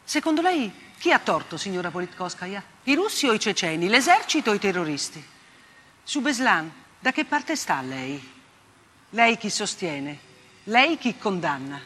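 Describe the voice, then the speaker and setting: An older actress playing a haunted, bitterly ironic woman journalist in a theatrical radio monologue, speaking deliberately and emphatically. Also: medium pitch, big pitch swings, fairly bright tone, slight background noise. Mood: biting, mocking. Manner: emphatic, deliberate delivery with rhetorical repetition. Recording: music bed behind the voice. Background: plucked guitar-like music.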